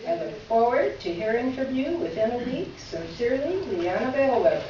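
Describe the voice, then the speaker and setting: A woman plays an exasperated woman writer delivering a sarcastic complaint letter as readers theater. Her voice is on the high side and emphatic. She is heard off-mic in a very reverberant room, slightly muffled. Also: expressive, moderate pace, mellow, natural voice. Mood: indignant, insistent. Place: reverberant room, recorded from a distance. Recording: echoey room, distant mic, muffled, faint noise.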